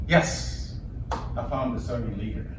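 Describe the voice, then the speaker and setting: A man's voice: mid-range voice, slow. A middle-aged man with a Kenyan accent gives a live speech to an audience, telling personal stories emphatically and repeating phrases for rhythm. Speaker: public speaker, leader of an organization. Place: indoor event before an audience. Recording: echoey room, distant mic, background noise.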